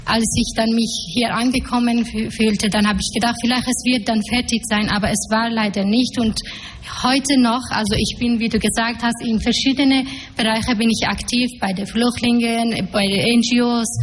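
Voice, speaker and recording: A woman's voice: a little high, steady tone, moderate pace. Young Afghan woman speaking in a hall. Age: 20s. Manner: hesitant, many fillers, searching for words. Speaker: panel guest, activist. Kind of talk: public panel talk. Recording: echoey hall, faint noise.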